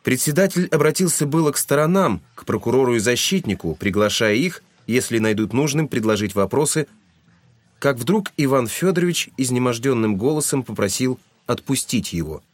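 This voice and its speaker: A man's voice: mid-range voice, expressive, normal speed, no room sound. A man narrating a literary audio drama in a scripted, dramatic storytelling style. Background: music.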